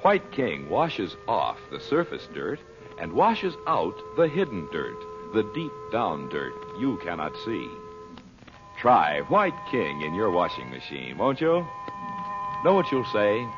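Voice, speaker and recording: A man's voice: fairly low pitch, huge pitch swings, slow. A man announcing for an old-time radio show, delivering a scripted sponsor message. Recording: dull old radio recording, music.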